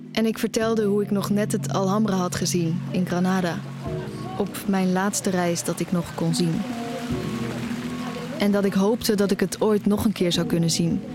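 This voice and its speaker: A woman's voice: mid-range voice, natural voice. A young woman acting in an audio drama as an anxious, tender, nearly blind music student who also narrates her story. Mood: tender, wistful. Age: young adult. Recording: music under the voice.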